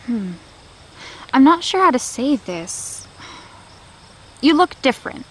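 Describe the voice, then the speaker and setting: Audio drama teen daughter: gentle, tentative, highish voice, unhurried, night insects behind. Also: female voice, exaggerated voice. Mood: hesitant, curious. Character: tentative, gentle fifteen-year-old girl, soldier's daughter. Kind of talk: naturalistic audio drama acting. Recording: steady outdoor night-insect noise.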